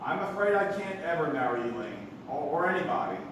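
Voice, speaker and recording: A man plays a flustered young nephew in a staged radio comedy. He is excitable and prone to stammering, in a high voice. The sound is roomy and distant, with a faint noise. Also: brisk.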